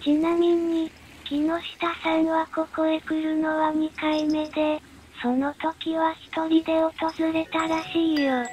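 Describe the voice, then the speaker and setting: Chatty storyteller woman, high voice but super monotone, bit of noise. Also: takes it slowly.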